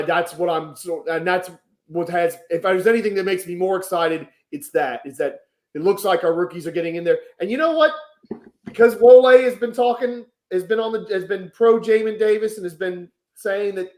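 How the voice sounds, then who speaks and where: A man on a live sports talk panel, sharing enthusiastic fan commentary. His voice is high for a man, and it carries the echo of a roomy space around him. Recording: echoey room.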